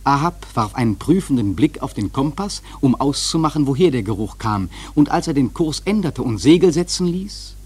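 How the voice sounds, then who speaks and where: An actor playing a seasoned whaling sailor who narrates a literary radio drama in a husky, vivid, dramatic way. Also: male voice, mid-range voice, a little mellow. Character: seasoned whaling sailor recounting the fateful hunt.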